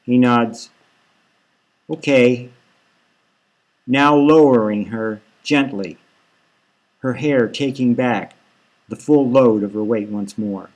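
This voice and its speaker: Male author-narrator for scripted dark fiction audiobook, mid-range voice. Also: medium pitch, slow, rough recording. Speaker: author reading own novel.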